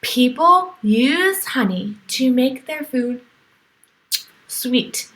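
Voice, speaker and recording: Female children's read-aloud teacher: high-pitched, unhurried, animated, talking straight to her young class. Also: low-quality recording. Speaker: teacher reading to a virtual class.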